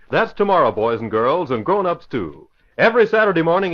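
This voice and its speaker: A man reading a cheerful, upbeat promotion for a children's show on old radio. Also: fairly high pitch. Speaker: announcer. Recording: muffled old radio broadcast.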